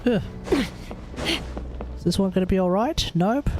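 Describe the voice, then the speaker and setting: A man's voice: high-pitched, animated, a little slow, over music. A young man with a laid-back, musing manner, casually commentating on a game and chatting with viewers. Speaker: live streamer.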